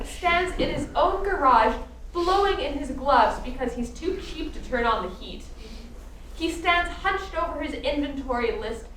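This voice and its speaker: Female narrator, scripted radio drama: emphatic, sardonic, high register, distant microphone with faint noise behind. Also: a bit bright. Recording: echoey room, distant microphone, background noise.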